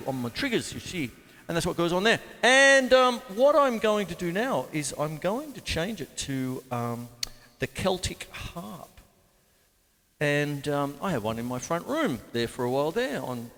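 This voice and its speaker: A man's voice: on the high side, sing-song, moderate pace, a bit bright, long reverb tail, faint music behind. Chatty man teaching drums. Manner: chatty, rambling, jokey asides. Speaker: drum teacher and show host. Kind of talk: casual live-to-camera drum lesson. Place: home studio with drum kit.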